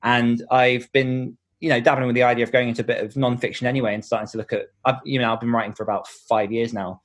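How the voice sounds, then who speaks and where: A man's voice: mid-range voice, level tone, fast. A man giving earnest, explanatory answers in a conversational podcast interview, thinking aloud as he goes. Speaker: podcast host and author being interviewed.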